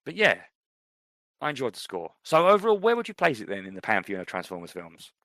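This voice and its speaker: A man's voice: medium pitch, huge pitch swings, brisk, sharp tone, dry recording, clean studio sound. A man co-hosting a podcast, chatting casually and rambling with many fillers about films. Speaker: podcast co-host.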